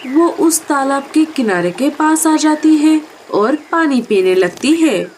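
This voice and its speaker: A woman's voice: sits high, animated, slow, darkish tone, a little hiss or hum. A woman narrating a scripted story for children.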